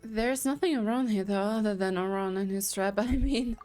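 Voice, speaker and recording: A woman's voice: medium pitch, normal speed, a little mellow, faint music behind. A woman giving casual live commentary on a game, in short, giggly remarks. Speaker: game reaction streamer.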